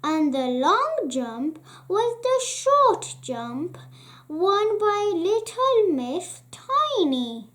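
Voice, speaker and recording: Woman reading a kids storybook, really high voice, super slow n careful w lots of pauses. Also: very animated, fairly bright tone. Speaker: children's storybook reader, channel host. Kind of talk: read-aloud children's story narration.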